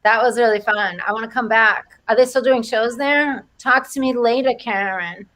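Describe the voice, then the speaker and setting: A woman's voice: fairly high pitch, normal speed, a bit bright. Playful woman with a Rhode Island accent. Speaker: comedian co-host. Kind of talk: casual livestream chat.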